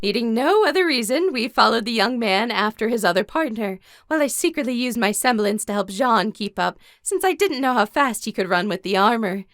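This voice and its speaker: A woman's voice: fairly high pitch, expressive, brisk, hardly any pauses, a bit bright, dry recording, studio quality. A woman playing a composed, caring teenage warrior girl, narrating an audio drama in the first person and speaking her dialogue.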